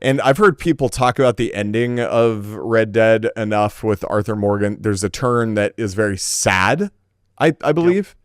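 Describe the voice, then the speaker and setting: A man's voice: lowish voice, normal speed, clean tone, no echo, studio quality. A man co-hosting a podcast in casual discussion, talking reflectively and hedging as he builds careful arguments. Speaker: podcast host.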